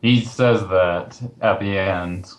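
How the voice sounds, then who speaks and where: A man's voice: low-pitched, takes it slowly. A man who reviews online, hosting a casual film chat over a voice call. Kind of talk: casual chat about films. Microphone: voice call on a computer. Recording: faintly noisy internet voice call.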